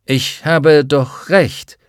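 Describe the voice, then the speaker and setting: A man's voice: a little high, fairly even intonation, very slow, clear, resonant tone, dry recording. A polite, eager Texan aeronaut, as a male narrator puts him on, his voice lifted a little above the reader's own. He speaks up with courteous energy. The recording is close and dry. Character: polite Texan balloon pilot, adult man. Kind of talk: audiobook character voice.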